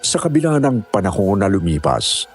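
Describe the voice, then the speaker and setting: A man with a deep voice narrates a scripted horror tale in the first person. Faint music plays underneath his reading. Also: big pitch swings, normal speed. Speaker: story narrator.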